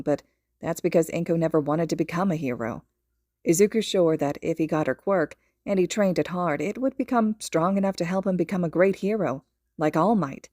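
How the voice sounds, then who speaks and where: A woman's voice: fairly low pitch, dark tone, dry recording, clean studio sound. A woman reading a story aloud as a scripted podfic narration.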